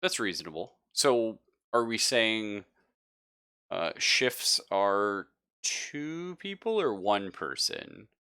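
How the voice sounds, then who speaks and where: A man's voice: lowish voice, big pitch swings, slow pace, bright, forceful tone, smooth, no echo, studio quality. A man acting as game master, narrating a tabletop roleplaying game in an easygoing, conversational way and thinking aloud.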